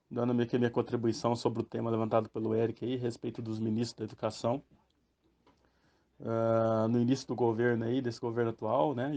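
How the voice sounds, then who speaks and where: A man's voice: medium pitch, dark tone. Rambling Brazilian man, muffled lo-fi sound. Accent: Brazilian Portuguese. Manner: rambling, full of fillers and tag questions. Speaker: podcast host. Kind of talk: casual spoken commentary.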